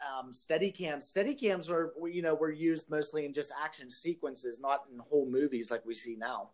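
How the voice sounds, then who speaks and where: A man's voice: a little high, moderate pace. A man co-hosting a podcast in a casual style, explaining his opinions informally. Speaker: podcast co-host.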